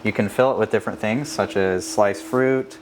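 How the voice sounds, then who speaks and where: A man working at a bakery, explaining the menu in a steady way with many ums and uhs. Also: fairly low pitch, moderate pace, very noisy. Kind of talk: casual explanation.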